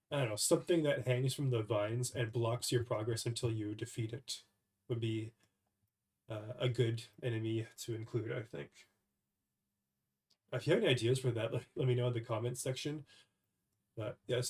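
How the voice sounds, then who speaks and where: Young male game developer, stuffy from a cold, halting casual voice-over at a desk mic. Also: mid-range voice, a little mellow. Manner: hesitant, many fillers and restarts. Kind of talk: casual devlog screen recording.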